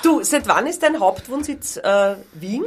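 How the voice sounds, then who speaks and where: A woman's voice: on the high side, expressive, unhurried, slight background noise. A woman with an Austrian accent interviewing a guest in a casual, teasing and playful way as a radio host. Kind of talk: casual, bantering interview chat. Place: radio studio.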